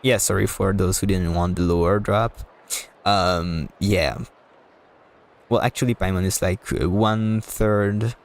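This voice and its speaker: A man's voice: sits low, slow pace. A man streaming a video game, chatting casually in a rambling, self-deprecating way. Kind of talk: casual livestream chat and game commentary.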